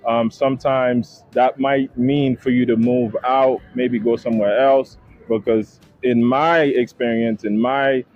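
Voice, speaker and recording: A man's voice: mid-range voice, fairly even intonation, unhurried, soft background music. A young man from Haiti, a travel content creator, chatting casually as an interview guest with lots of fillers and repeated words. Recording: dull lo-fi sound, faint noise.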